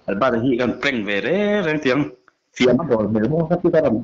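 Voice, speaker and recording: A man's voice: mid-range voice, expressive, fast talker, breathy edge. A man chatting casually in a phone conversation. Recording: muffled, dull phone-call audio.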